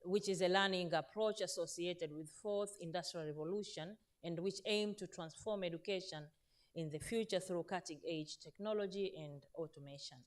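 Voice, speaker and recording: A woman in a slightly low voice. She addresses a conference audience earnestly as a veteran teacher, pausing on fillers and correcting herself as she goes. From Zanzibar, Tanzania. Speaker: panel speaker, veteran teacher. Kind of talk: conference panel talk. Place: conference stage in Turkey.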